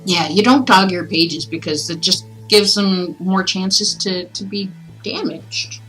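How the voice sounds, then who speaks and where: A woman livestreaming in a chatty, expressive way, as a host who reads aloud and talks with viewers. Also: medium pitch, slow pace, rough recording. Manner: chatty, expressive, breaks off into asides. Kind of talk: casual livestream chat with storybook reading aloud. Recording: music playing behind the voice. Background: background music.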